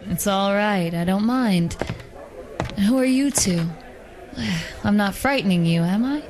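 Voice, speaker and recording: A woman voicing a polite, gentle female werewolf dancer who is secretly an assassin, in a hobby audio drama. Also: mid-range voice, animated, slow. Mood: coaxing. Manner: polite, gentle, softly coaxing.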